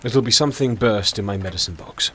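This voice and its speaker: A man acting a brisk, jovial country doctor in an audio drama, with a chatty, theatrical way of talking. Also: fairly low pitch, natural voice. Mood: calm, reassuring. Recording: clearly noisy home-recorded audio drama.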